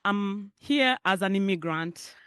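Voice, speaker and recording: A woman's voice: mid-range voice, takes it slowly, a bit bright, clear, resonant tone, dry recording, studio quality. Ugandan-accented woman giving a keynote speech. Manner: conversational, laughs often, makes off-the-cuff asides to the audience. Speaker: keynote speaker. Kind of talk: personal keynote speech at a public forum.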